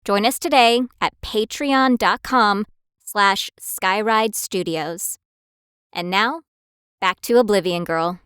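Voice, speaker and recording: A woman's voice: medium pitch, slow, fairly bright tone, smooth, dry recording, studio quality. A woman playing a self-doubting young fighter who narrates her own story in an audio drama. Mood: upbeat announcement.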